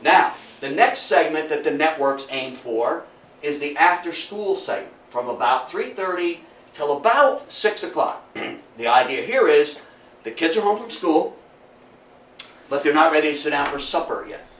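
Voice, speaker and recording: An older man giving an informal, animated lecture to an audience, repeating phrases for emphasis and often checking in with an okay. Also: a little high, normal speed. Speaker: lecturer at a historical society talk. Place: indoor talk room with audience. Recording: echoey room, distant mic, background noise.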